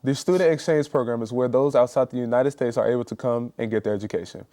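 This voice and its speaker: A young man anchoring a school news show, reading announcements in a steady, read-aloud way. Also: mid-range voice, fast, soft background music.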